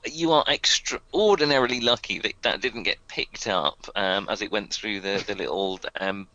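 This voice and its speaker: A man's voice: on the low side, moderate pace, sharp tone, no echo, lo-fi sound. A man narrating as game master for a tabletop game, rambling with many fillers and chuckles.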